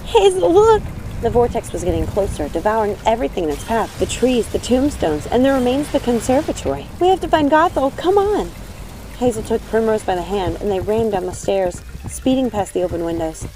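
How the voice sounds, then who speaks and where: Female audiobook narrator: expressive, suspenseful dramatic reading, bright tone, soft music underneath. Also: mid-range voice, brisk, few pauses, slight background noise, rough recording. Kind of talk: dramatic scripted storybook narration.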